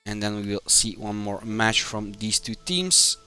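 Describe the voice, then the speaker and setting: A man from Belgium, a native Dutch speaker with a Belgian Dutch accent, commentates a live game stream in halting, short phrases. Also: lowish voice, lively intonation, pushed and bright, clear, resonant tone, faint music behind. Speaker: esports match commentator, community manager.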